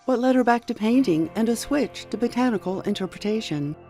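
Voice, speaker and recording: A woman narrating a garden program, with an even and polished scripted delivery. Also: fairly high pitch, brisk, faint music behind. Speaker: show narrator.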